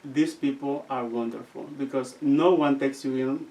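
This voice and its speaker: A young man with a Guatemalan accent, telling stories as an interview guest and often pausing to search for his words. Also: mid-range voice, fairly even intonation, a little mellow. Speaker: seminarian guest. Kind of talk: casual interview storytelling. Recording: distant from the mic.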